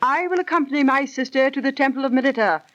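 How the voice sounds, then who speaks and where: A woman's voice: high-pitched, fast, a bit bright. An actress playing a jealous, scheming young noblewoman of ancient Babylon, in imperious, theatrical, archaic 1930s radio style. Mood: urgent, commanding. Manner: imperious, formal, theatrical diction. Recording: dull old 1930s radio recording.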